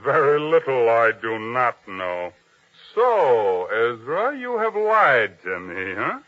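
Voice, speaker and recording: A man's voice: mid-range voice, big pitch swings, slow. An actor playing a proud, domineering captain of a king's guard in old-time radio drama style, commanding and taunting. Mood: stern, interrogating. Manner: commanding, taunting, self-important. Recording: dull, lo-fi old radio sound.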